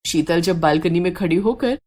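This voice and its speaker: A woman's voice: on the low side, normal speed, darkish tone. Woman narrating a scripted horror story.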